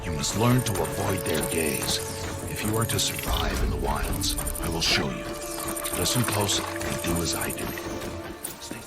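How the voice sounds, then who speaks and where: An actor playing a stern, gruff, protective outcast hunter who instructs in grave, terse commands in a video game. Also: male voice, low-pitched, expressive, measured pace. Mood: stern, instructing. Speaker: mentor. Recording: loud music behind. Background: loud music.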